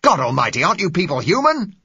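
A man voicing an angry street agitator of about thirty, whose speech is a mix of rough and educated and who shouts passionately. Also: fairly high pitch, wildly swooping pitch, fast talker, no echo, voice pushed up, exaggerated voice. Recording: dull, slightly lo-fi recording.

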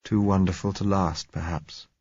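A man plays a gentle young husband in an audiobook, husky and soothing. He is tender yet resolute, on a slightly dull recording. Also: low-pitched, moderate pace, dry recording. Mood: tender, resigned. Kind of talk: audiobook character voice.

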